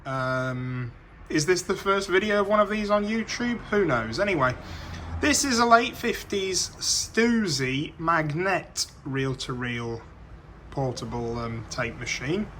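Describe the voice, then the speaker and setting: A man's voice: fairly high pitch, lively intonation, slow pace, a bit bright, slight background noise. A British man giving a casual, unscripted show-and-tell, thinking aloud and correcting himself in a hesitant way. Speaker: vintage audio collector presenting a machine.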